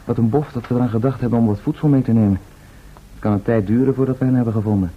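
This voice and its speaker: An actor in a radio drama playing a calm, practical adventurer who reasons in a measured, matter-of-fact way. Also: male voice, mid-range voice, breathy edge, close to an everyday voice. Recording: dull, noisy old radio recording.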